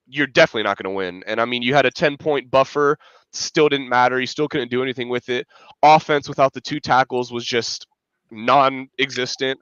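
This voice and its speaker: A man's voice: mid-range voice, fairly bright tone, clean tone, no room sound, muffled recording. Congested man rambling about football. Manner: rambling, filler-heavy, restarts often. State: congested from a cold. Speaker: podcast co-host, fan analyst. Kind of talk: casual sports podcast chat.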